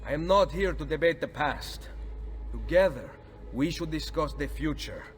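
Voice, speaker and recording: An actor playing a composed, confident Italian nobleman assassin with an Italian accent in a video game, speaking in a measured, formal way. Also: fairly high pitch, animated, slight background noise, close to an everyday voice. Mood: firm. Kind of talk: dramatic video game acting. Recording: music behind the voice.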